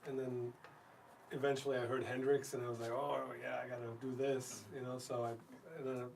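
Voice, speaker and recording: A man's voice: medium pitch, level tone, fairly quick, creaky, a little mellow, far from the mic, a little hiss or hum. A man from Germany, a violinist, chatting in an interview in a joking, rambling way full of restarts. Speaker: violinist in a band. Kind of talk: casual interview chat.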